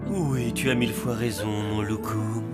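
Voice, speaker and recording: An actor voicing a young human husband, gushing and sappy with love. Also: male voice, lowish voice, fairly even intonation, measured pace, lightly acted. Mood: lovesick. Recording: music underneath.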